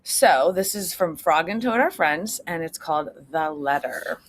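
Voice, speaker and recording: A woman reading a story aloud to children like a teacher, pausing to explain things simply and check in with her listeners. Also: deep voice, animated. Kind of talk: read-aloud storytelling with asides to young listeners. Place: outdoors on a front porch. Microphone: computer propped on a chair.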